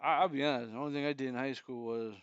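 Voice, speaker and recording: A man's voice: mid-range voice, steady tone, very fast, smooth, no echo, lo-fi sound. A man in his forties co-hosting a podcast, chatting casually with a joking, profane manner. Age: 40s. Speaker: podcast co-host. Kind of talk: casual chat.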